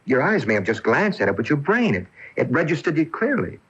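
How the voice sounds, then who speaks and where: An actor playing a reassuring, lovestruck boyfriend in a radio drama, coaxing and expressive. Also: male voice, a little high, fairly quick, breathy edge, exaggerated voice. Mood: reasoning, reassuring. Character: attentive, reassuring boyfriend in love, adult man. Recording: muffled AM radio broadcast recording.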